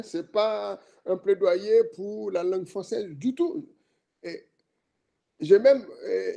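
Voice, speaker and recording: Middle-aged man, jury member; high, mellow voice, measured and probing. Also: creaky. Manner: deliberate, questioning, professorial. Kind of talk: academic remarks at a thesis defense.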